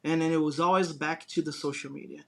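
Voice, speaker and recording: A man's voice: a little high, fairly even intonation, fast, vocal fry. A man from Brazil with a Brazilian Portuguese accent, a guest talking casually and rambling with many fillers and restarts. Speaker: guest, design business owner. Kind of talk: casual interview chat.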